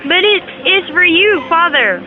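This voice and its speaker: A woman's voice: very high voice, expressive, measured pace. A young woman acting a rich man's respectful, earnest son in an amateur student audio drama. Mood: pleading. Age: young adult. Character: grown sons of a rich man, respectful and pleading. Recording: muffled amateur recording with music.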